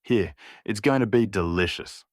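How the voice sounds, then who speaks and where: A man's voice: fairly low pitch, normal speed, no room sound, clean studio sound, natural voice. A man playing a young male summoner who coaxes his pet with curiosity in an audiobook. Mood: coaxing. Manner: coaxing, talking to a pet.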